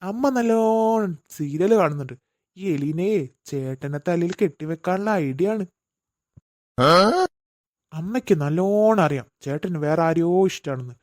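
A woman's voice: medium pitch, expressive, fairly quick, lightly acted. A woman voicing a sarcastic teenage boy making mocking remarks. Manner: mocking, wisecracking. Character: sarcastic teenage younger brother.

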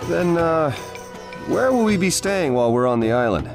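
A man voicing a polite, dutiful ninja captain with a courteous, measured manner in an anime game dub. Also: fairly high pitch, sing-song, gravelly, music in the background.

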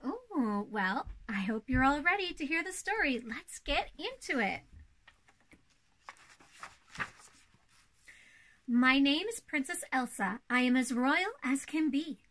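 An elegant older-sister princess, acted out by a young woman reads a children's storybook. The voice is high and bright. The delivery is poised and theatrical, as befits proper royalty. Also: animated, normal speed, few pauses. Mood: welcoming. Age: young adult. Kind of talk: storybook read-aloud for children.

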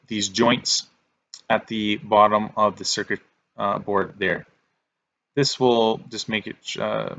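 A man giving step-by-step instructional narration as a repair technician, in a halting, plain-spoken way. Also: lowish voice, pushed and bright. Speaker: repair technician presenting a tutorial.

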